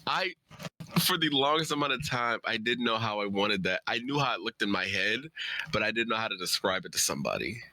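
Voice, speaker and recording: A man with a low but very bright, forceful voice, recorded dry and close. He chats informally as a livestream co-presenter and speaks effusively. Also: animated, a little fast, no room sound, low-quality recording. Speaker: writer and co-presenter. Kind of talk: casual livestream chat.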